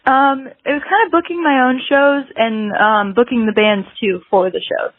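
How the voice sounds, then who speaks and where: A woman's voice: fairly high pitch, fairly even intonation, normal speed, phone-quality sound. A woman in her early twenties from South Carolina with an American accent, talking casually as an interview guest, hedging and saying "like" very often. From South Carolina, United States. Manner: hedging, very frequent "like" filler. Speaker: podcast guest, musician.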